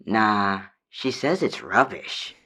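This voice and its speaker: A woman's voice: rumbling low, animated, slow pace, bright, forceful tone, slightly rough, exaggerated voice. A woman voicing a meek, hesitant dryad calling a radio advice show in an audio drama, unsure and trailing off. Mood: subdued, unsure. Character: hesitant, meek female dryad, homesick for her forest.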